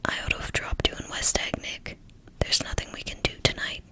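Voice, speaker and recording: A woman voicing a gruff, commanding man who stays in control, all in a whisper. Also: a little fast, sharp tone, lightly acted. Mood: grave.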